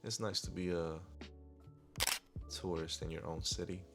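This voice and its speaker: A man with a deep voice narrating his own vlog in a laid-back, rambling voiceover. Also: fairly even intonation, measured pace, creaky, over loud music. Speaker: vlogger. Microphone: clip-on wireless mic.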